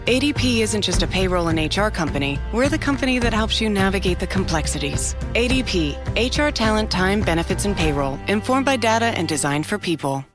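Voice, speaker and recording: Female commercial announcer over music. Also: mid-range voice. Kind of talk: scripted corporate ad voice-over. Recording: music bed behind voice. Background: clear background music.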